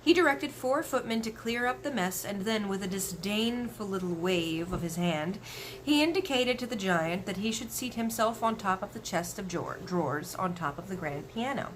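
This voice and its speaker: Animated woman reading a children's story. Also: medium pitch, normal speed, a little hiss or hum, poor audio. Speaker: story reader. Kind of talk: expressive children's book read-aloud.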